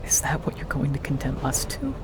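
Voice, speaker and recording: A man's voice: medium pitch, very animated, a little fast, natural voice. Man playing an anxious stranger over train noise. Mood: uneasy insistence. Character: anxious, earnest male stranger pleading on subway. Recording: noisy subway train ambience.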